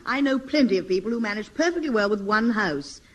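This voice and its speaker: A woman's voice: medium pitch, lively intonation, fast, close to an everyday voice. An actress playing a sensible, supportive woman friend in a 1950s radio comedy, polite and practical. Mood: exasperated. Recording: noisy vintage radio comedy recording.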